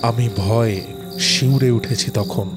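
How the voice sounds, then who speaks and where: Slow, suspenseful male storyteller. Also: medium pitch. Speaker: narrator. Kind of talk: scripted horror story narration. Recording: background music.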